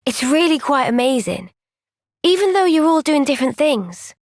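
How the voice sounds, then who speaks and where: A woman playing a young, thoughtful and caring warrior heroine in a video game, speaking in a measured, earnest, gentle way. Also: sits high, sing-song, no echo, clean studio sound. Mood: conversational. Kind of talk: video game cutscene voice acting.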